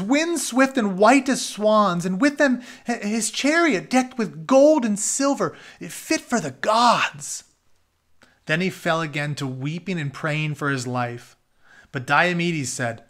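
A man in his thirties with a slightly high voice reads aloud from a storybook. He moves between narration and casual, plain-worded explanations for his listeners, recorded at a desk in a room at home. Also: fairly bright tone. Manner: informal, explains words in plain terms. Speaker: storyteller reading a book aloud. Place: carpeted home office, indoors.

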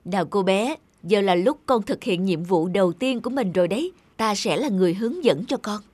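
A woman voicing a gentle, wise guardian angel in a cartoon, tender and instructive. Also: mid-range voice, lively intonation, a little fast, no echo, natural voice. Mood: gentle, solemn. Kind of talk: animated fairy-tale dubbing.

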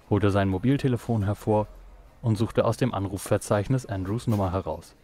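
A man's voice: fairly low pitch, a little mellow. A man reading scripted narration for an audio drama. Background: music.

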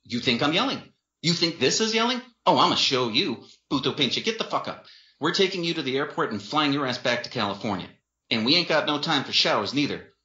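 Man acting a fiery, high-pitched brash young woman. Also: very animated, sharp tone, echoey room, highs cut off. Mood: scornful, angry. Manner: rapid-fire, brash, sweary, street slang. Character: fiery loud young woman, protective, scolding older sister.

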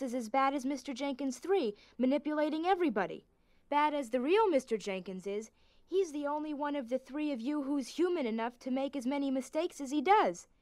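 A woman's voice: high-pitched, a little mellow, dry recording. A woman playing an earnest, determined young schoolgirl, speaking directly, in an audio drama.

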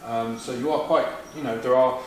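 A man's voice: medium pitch, steady tone, normal speed, a little mellow, slight background noise. Casual male magazine interviewer, filler-heavy; recorded far off in an echoey workshop. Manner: chatty, many ums and fillers. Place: large workshop garage, indoors.

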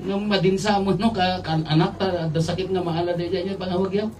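A middle-aged man giving an informal homily as a priest, conversational and jokey, in halting phrases with rhetorical questions. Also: sits high, steady tone. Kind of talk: informal homily mixing local language with English terms. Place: outdoors at night at a makeshift altar. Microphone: microphone on a stand, filmed from afar. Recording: distant, slightly off-mic capture.

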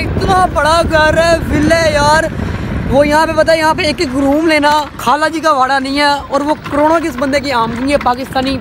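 High-pitched young man, hyping outdoors. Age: 20s. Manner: emphatic, repetitive hyping. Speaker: vlogger. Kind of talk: casual vlog talk to camera. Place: outdoors on a city street at evening. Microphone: phone at arm's length. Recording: loud street and traffic noise.

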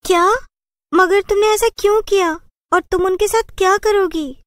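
A woman's voice: steady tone, normal speed, fairly bright tone. A woman voicing an earnest, brave young village woman in a cartoon story, emphatic in manner. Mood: alarmed. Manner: high, emphatic, protesting.

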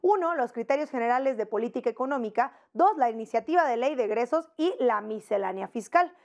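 A woman's voice: on the high side, lively intonation, a little mellow, lo-fi sound. Young Mexican woman presenting the news. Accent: Mexican Spanish. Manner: brisk, wry asides, rising rhetorical questions. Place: large TV studio with video wall. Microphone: hidden lapel mic, full-body framing.

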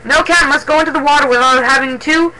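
Man voicing a commanding she-cat warrior, high-pitched. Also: fairly even intonation, fast, sharp tone, heavily acted, poor audio. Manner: calling out orders. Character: Maple Whisker, commanding, concerned female warrior cat. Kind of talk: dramatic storybook character reading.

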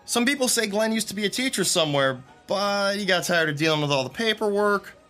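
A man's voice: high voice, animated, fairly quick, bright, forceful tone, faint music behind. A man acting a friendly, chatty theatre techie telling anecdotes in an audio drama. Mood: amused storytelling. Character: Rick, chatty friendly young male theatre techie storyteller.

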